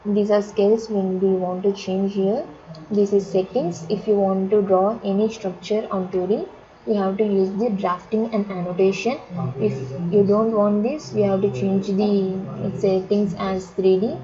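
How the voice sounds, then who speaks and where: A woman teaching drafting software step by step, halting and often repeating and rephrasing herself. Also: mid-range voice, steady tone. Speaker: software instructor. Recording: dull lo-fi sound, crowd chatter behind.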